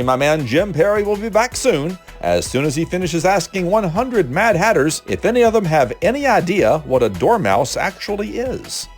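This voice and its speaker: A man presenting comic TV listings from a script, with the showman-like delivery of a game show announcer. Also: on the high side.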